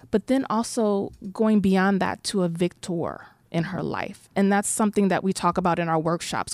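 A woman's voice: mid-range voice, a little mellow, dry recording. A woman answering an interview question in a reflective, flowing way, with many fillers and restarts. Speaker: director of a one-woman show.

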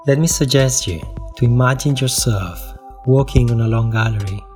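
A man reads a scene-setting introduction deliberately, inviting the listener to imagine. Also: medium pitch, a little mellow, faint music behind. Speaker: podcast introducer.

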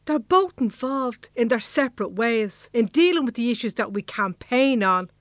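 A woman's voice: on the high side, lively intonation, moderate pace, no room sound, natural voice. A woman acting the bossy, no-nonsense leader of a secret band in an Irish comedy audio drama, colloquial, with a Dublin accent. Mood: firm, impatient.

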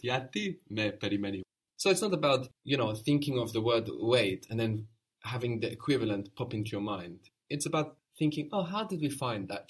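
A man's voice: medium pitch, husky. Patient male language teacher. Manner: patient, prompting questions and quick praise. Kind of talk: guided language lesson.

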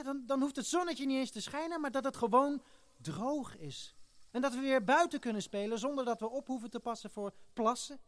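An actress playing a resolute, earnest child ringleader in a family radio play. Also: female voice, a little high, close to an everyday voice. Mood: quiet, wistful. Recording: music behind the voice.

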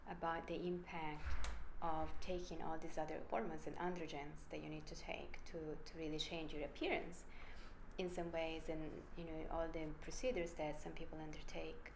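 A woman's voice: low-pitched, vocal fry, roomy sound, noisy background. A woman with an Italian accent, a researcher explaining her work in an emphatic, conversational interview. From Italy. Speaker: brain researcher guest.

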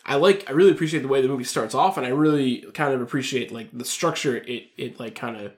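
A man with a medium-pitched voice co-hosts a podcast. He talks casually about film, informal and conversational, circling around an idea as he works toward his point. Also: fast. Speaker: podcast co-host. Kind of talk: casual film discussion chat.

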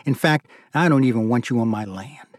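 Cold curt guy at the door, as a narrator plays him, even voice, dry. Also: medium pitch, a little fast, no room sound, lightly acted. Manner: curt, quiet, firm. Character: stoic, curt middle-aged man guarding his home.

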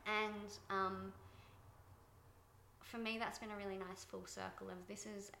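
A soft-spoken woman being interviewed about her directing work, thoughtful and pausing with fillers. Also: mid-range voice, little pitch movement, vocal fry, reverberant, a little hiss or hum. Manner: thoughtful, many fillers and restarts. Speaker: guest, TV director. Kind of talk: reflective interview talk.

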